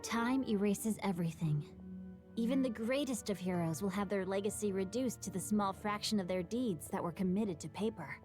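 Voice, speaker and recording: A woman's voice: mid-range voice, normal speed, a little mellow, faint music behind, lightly acted. Woman voicing a refined, bookish teenage boy. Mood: refined, reflective. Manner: poetic, refined. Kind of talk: anime game voice acting.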